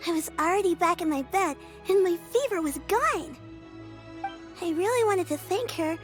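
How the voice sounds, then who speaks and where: A bubbly, high young girl. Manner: bubbly, eager, chatty. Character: cheerful, earnest young girl. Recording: game audio with faint music.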